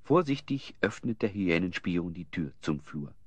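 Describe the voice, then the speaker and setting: A man's voice: deep voice, big pitch swings, measured pace, dry recording, full character voice. A man narrating a children's fantasy audio drama as a scripted storyteller. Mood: dramatic.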